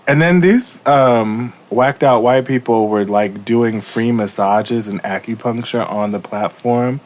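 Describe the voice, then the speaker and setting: A young adult man, co-hosting a podcast, campy and wisecracking, his voice hoarse from a cold. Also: mid-range voice, unhurried. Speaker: podcast co-host. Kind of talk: casual chat. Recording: faint background noise.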